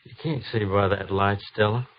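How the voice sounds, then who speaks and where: An actor playing a tender-hearted young frontier trooper and anxious husband in an old radio drama, with folksy rural American speech. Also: male voice, lowish voice, steady tone, moderate pace, close to an everyday voice. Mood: tender, dreamy. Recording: dull, noisy old radio recording.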